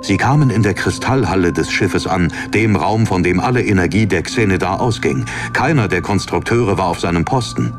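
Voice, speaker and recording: A man's voice: low-pitched, normal speed, few pauses. A man reading a fantasy story as a scripted, dramatic audiobook narration. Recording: narration over background music.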